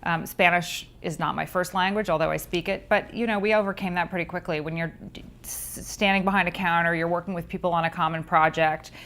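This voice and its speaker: Woman, middle-aged, researcher, interview guest, measured, low-pitched. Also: fairly even intonation, a bit bright. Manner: thoughtful and measured, with frequent ums. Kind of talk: conversational interview answers.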